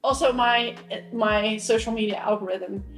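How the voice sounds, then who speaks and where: A woman's voice: fairly high pitch, fairly even intonation, moderate pace, roomy sound, faint music behind. A young woman from Europe chatting casually, giggling often as a vlogger. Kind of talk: casual chat.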